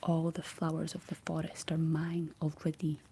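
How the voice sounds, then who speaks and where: A woman's voice: deep voice, completely flat delivery, normal speed, warm tone, slight background noise. A woman whispering the lines of a menacing fairy woman in green, nasty and cold in tone.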